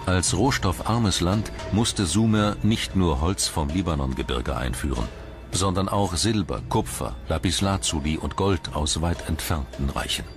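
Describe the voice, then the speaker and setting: Very deep-voiced male documentary narrator, over music. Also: unhurried.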